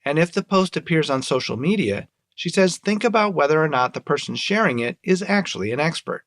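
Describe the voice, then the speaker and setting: Lively scripted male radio narrator. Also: on the high side, fairly quick. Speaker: radio segment host.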